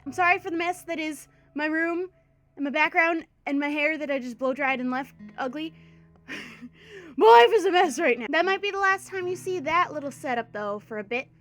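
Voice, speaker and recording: A woman's voice: high voice, sharp tone. A young woman with a congested voice chatting casually in her bedroom while playing a game. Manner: bubbly, laughing, chatty. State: congested from a cold. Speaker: gaming YouTuber. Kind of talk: casual let's-play commentary.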